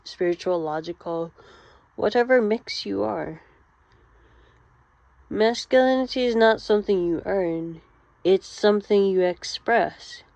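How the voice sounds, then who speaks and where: A woman delivering a guided therapy-style monologue, speaking gently and deliberately in short phrases with pauses between them. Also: fairly low pitch. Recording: roomy, slightly dull lo-fi sound.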